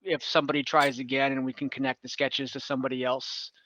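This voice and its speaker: A man's voice: medium pitch, flat delivery, quick pace, bright, forceful tone, no room sound, muffled recording, lightly acted. A man improvising a casual, matter-of-fact prison official in a tabletop roleplay, with a laid-back, hedging manner. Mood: guarded, casual.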